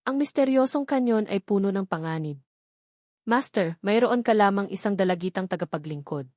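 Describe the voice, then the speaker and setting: A woman's voice: medium pitch, expressive, moderate pace, darkish tone, dry recording, clean studio sound, close to an everyday voice. A woman voicing a cautious young female disciple in a storybook, respectful toward her master. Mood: anxious, warning.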